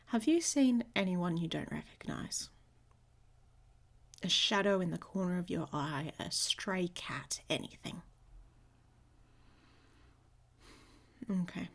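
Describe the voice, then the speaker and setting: A husky, breathy female werewolf, acted by a woman, hot-tempered and possessive of her mate. She talks bluntly and sardonically, close to the listener, at an unhurried pace in an intimate audio roleplay. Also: fairly low pitch, heavily acted. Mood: wary, concerned. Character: hot-tempered, protective, possessive young she-wolf pack leader.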